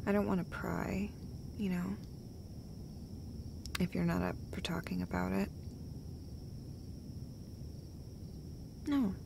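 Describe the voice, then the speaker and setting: A woman plays a gentle, caring girlfriend in an intimate audio roleplay. She speaks slowly and hesitantly, soft and breathy with gravelly fry, often dropping toward a whisper. There is clear background noise behind her. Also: fairly low pitch, little pitch movement. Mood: gentle, reassuring. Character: caring, gentle, casually sweary girlfriend comforting her partner.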